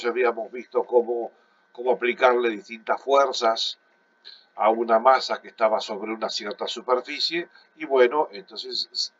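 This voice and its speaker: Guy with a cold lecturing over pc mic, slightly hoarse, bit muffled sound. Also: medium pitch. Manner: steady explanatory, many fillers and restarts. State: congested with a cough. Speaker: physics teacher. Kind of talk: recorded online class lecture. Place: at home at a computer.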